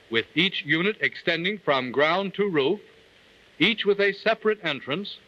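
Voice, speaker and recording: A man delivering formal, measured scripted narration in the manner of a 1940s instructional film. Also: fairly high pitch, sharp tone. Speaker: narrator of a government training film. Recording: dull, lo-fi 1940 film soundtrack.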